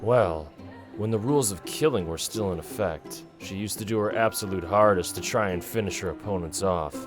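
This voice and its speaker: A low-voiced man, acting as a calm clan member in a visual novel. He explains in a measured, conversational way over music. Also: close to an everyday voice.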